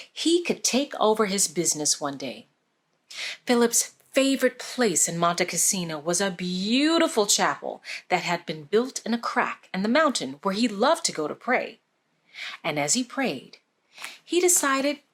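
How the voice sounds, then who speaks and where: A woman reading a storybook aloud for children, with expressive, deliberate phrasing. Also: mid-range voice. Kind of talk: scripted storybook narration for children.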